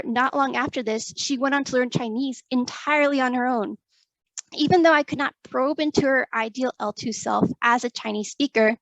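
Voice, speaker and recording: A young adult woman, a graduate student, presenting her research in a careful, measured read with a few restarts. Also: a little high, dry recording, poor audio. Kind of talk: reading a prepared research talk.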